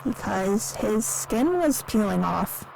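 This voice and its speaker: An actress playing a timid, lonely, naive museum worker in an audio drama, speaking hesitantly and earnestly in a recorded interview. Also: female voice, medium pitch, sing-song, slow, full character voice, rough recording. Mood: timid, confiding. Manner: hesitant, soft-spoken, earnest. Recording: clearly audible background noise.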